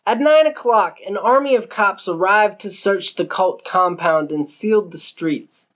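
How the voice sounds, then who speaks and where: A man's voice: high-pitched, unhurried, fairly bright tone. A male narrator reads literary fiction for an audiobook. The delivery is scripted storytelling, in the voice of a novel's narrator.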